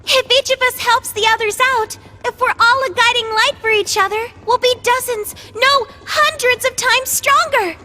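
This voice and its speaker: A woman's voice: very high voice, moderate pace, few pauses, pushed and bright, faint music behind. A woman voicing a small, earnest, idealistic young girl in a video game. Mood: earnest, rousing. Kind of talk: English video game voice acting.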